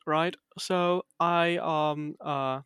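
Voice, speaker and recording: A man's voice: a little high, fairly even intonation, extremely slow, a bit bright, smooth, no echo, studio quality. A man hosting a podcast, telling a rambling, hesitant story in casual chat. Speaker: podcast host.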